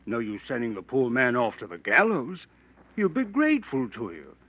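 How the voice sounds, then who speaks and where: An actor playing a smug, well-spoken blackmailer in an old-time radio drama, polite, mocking and quietly threatening. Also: male voice, mid-range voice, sing-song, normal speed. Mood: smug, self-satisfied. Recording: muffled old radio broadcast recording.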